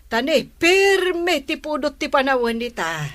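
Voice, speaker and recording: Bright-voiced chatty village gossip. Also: female voice, high-pitched, big pitch swings, measured pace, heavily acted. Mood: chatty, fretful. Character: chatty village woman bothered by heat. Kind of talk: Ilocano comedic radio drama acting.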